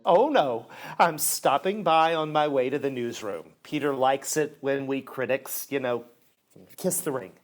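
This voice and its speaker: A man acting a smug theater critic in a radio drama. He drawls very slowly, condescending and mock-polite, savoring every gloating phrase. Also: mid-range voice, lightly acted. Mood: smugly taunting.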